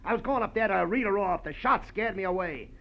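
An actor playing a sullen, quick-tempered murder suspect in a 1940s radio noir drama. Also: male voice, sits high, creaky. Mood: defensive, agitated. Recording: muffled old radio recording.

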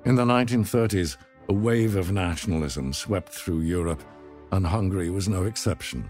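Deep-voiced male documentary narrator. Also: a little mellow, soft background music. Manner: measured, dramatic storytelling.

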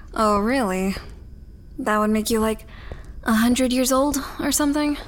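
A woman's voice: a little high, takes it slowly, noisy background. An actress playing a bitter, guarded working mother in a sci-fi audio drama, blunt, sardonic and suspicious. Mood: sceptical, sardonic. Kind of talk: audio fiction acting.

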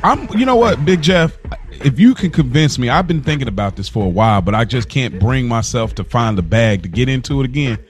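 A man's voice: mid-range voice, big pitch swings, fairly quick, faint music behind. A man co-hosting a podcast, chatting casually and conversationally. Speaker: podcast co-host. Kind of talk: casual chat.

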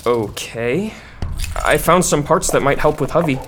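A man's voice: fairly high pitch, expressive, measured pace, a bit bright, a little hiss or hum. An actor playing an earnest, curious young male companion in an audio drama. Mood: friendly, curious.

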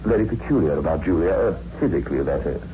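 An actor playing a grave, secretive man in an old-time radio horror drama, speaking in a measured, formal, ominous way. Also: low-pitched, lively intonation. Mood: ominous, explanatory. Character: grave, secretive brother guarding a dark family secret. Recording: dull, hissy old radio recording.

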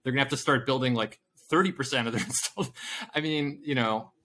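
A man's voice: mid-range voice, fast talker, a bit bright. A man co-hosting a casual investing podcast, rambling with lots of fillers and laughing often. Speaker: podcast co-host.

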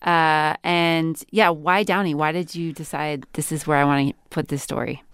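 Very smooth, low-pitched woman. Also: steady tone, normal speed, no room sound. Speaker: radio interviewer and host. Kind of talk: measured conversational interview.

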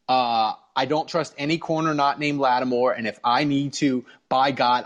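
A man with a slightly high voice hosts a sports podcast. He talks in loose, casual banter, like a chat between fans.

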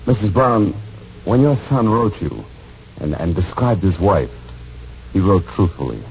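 A grave, gentle military gentleman in an old radio play. His deep, dark voice swings expressively through formal, halting, earnest speeches, on a muffled vintage recording. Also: animated, a little hiss or hum. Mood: earnest, fervent. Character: gentle, grave older Major explaining a miracle. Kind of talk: 1940s radio drama acting.

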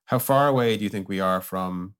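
Male podcast host, lowish voice, fast talker, casual interview style. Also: smooth.

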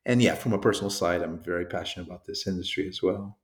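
A man's voice: low-pitched, fast talker, reverberant. A middle-aged man from Portugal, a sales executive being interviewed, talking in a reflective, rambling way. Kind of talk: conversational interview.

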